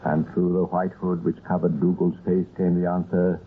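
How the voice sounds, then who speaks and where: Low-voiced man narrating vintage radio crime. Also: little pitch movement, normal speed, breathy edge, narrow, tinny audio. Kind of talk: scripted old-time radio crime narration. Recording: thin, noisy old radio recording.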